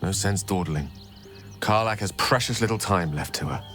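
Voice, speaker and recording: A man playing a brisk, formal adventurer in a video game, speaking with urgency. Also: sits low, fairly bright tone, soft background music. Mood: urgent. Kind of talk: video game character acting.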